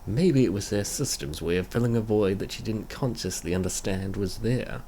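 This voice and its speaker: A man's voice: fairly low pitch, normal speed, mellow, slight background noise. A man hosting and narrating a comic dub in a casual, story-reading style. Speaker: comic dub reader and channel host. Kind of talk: casual video intro and scripted first-person narration.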